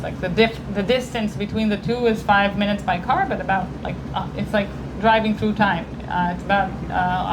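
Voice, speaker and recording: A woman from Israel speaks earnestly to a gathering at a mid-range pitch, stopping and restarting as she searches for words. A steady hiss of background noise runs under the recording. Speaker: guest speaker. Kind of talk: public talk and Q&A. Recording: noisy room recording.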